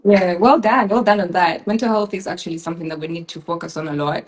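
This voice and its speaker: Poised young woman from Uganda, lowish voice. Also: fast talker, slight background noise. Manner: warm, laughs often, poised. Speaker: pageant contestant. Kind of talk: live online interview.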